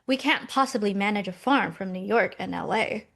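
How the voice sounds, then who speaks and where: An actress playing a pragmatic, easily exasperated millennial American twin in a comedic table read. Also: female voice, mid-range voice, normal speed, creaky, a bit bright. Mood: brisk.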